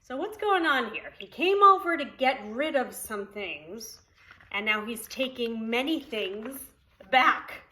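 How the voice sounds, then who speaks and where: A woman's voice: a little high, animated, slow, pushed and bright. A woman preschool teacher reading a story aloud to young children, expressively, with simple explanatory asides.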